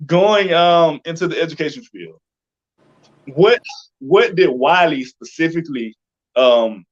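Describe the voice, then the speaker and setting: A man's voice: fairly high pitch, animated, unhurried, highs cut off. A man hosting a casual interview, asking informal questions in a rambling, roundabout way. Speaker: interview host.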